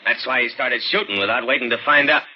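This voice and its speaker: A man's voice: mid-range voice, slightly rough, lightly acted. A man playing a plain, laconic state trooper in a 1940s radio thriller. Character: matter-of-fact male state trooper. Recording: muffled, noisy vintage radio recording.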